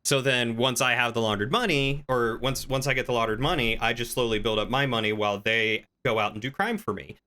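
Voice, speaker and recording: A man's voice: mid-range voice, a little fast, hardly any pauses, sharp tone. A man livestreaming a role-play game, chatting casually with viewers and explaining things in an informal, rambling way as he thinks aloud.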